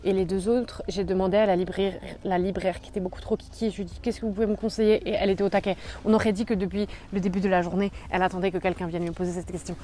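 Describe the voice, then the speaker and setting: A woman's voice: medium pitch, flat delivery, rapid-fire, hardly any pauses, dark tone, a little hiss or hum. A young woman chatting casually in a book vlog, rambling with many fillers. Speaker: book YouTuber.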